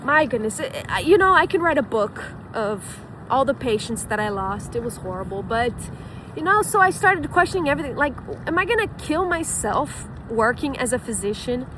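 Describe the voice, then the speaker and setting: A woman's voice: sits high, very animated, normal speed, background noise. A woman from Brazil with a Brazilian Portuguese accent, a former physician telling her story in a rambling, casual way full of fillers and restarts.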